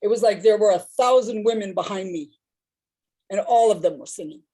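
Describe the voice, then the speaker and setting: A woman's voice: mid-range voice, a little fast, warm tone. A woman with a big voice, telling personal stories on a discussion panel in a reflective, rambling way.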